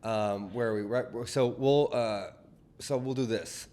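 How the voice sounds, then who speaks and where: A man's voice: lowish voice, takes it slowly, mellow. Rambling Southern man co-hosting a talk show. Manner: rambling, self-correcting, chatty. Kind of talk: casual chat. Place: studio.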